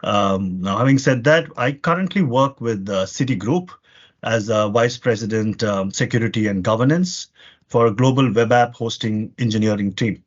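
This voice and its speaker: A man's voice: fairly low pitch, normal speed. A man in his forties, a podcast guest, talking earnestly about his career in long runs full of fillers. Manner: earnest, talks in long lists with many fillers. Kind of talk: conversational interview answers. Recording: muffled lo-fi audio.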